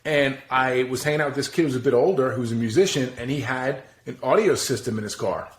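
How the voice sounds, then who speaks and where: A man's voice: medium pitch, fast. A man in his forties chatting as a podcast guest, his voice sitting right in the middle of the usual range. He talks loosely, swears often and laughs at his own stories. The recording has a noticeable roomy echo. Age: 40s. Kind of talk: casual interview chat.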